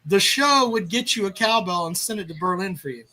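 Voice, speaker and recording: A man's voice: high voice, a little fast, sharp tone, low-quality recording. A man hosting a call-in sports show, chatting casually and politely with a caller. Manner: friendly, addresses caller as sir. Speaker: radio show host.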